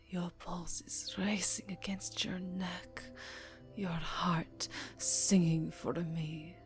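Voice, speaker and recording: A woman playing a seductive, predatory yet tender vampire countess in a whispery ASMR roleplay, breathy, intimate and coaxing. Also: fairly low pitch. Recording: soft music behind the voice.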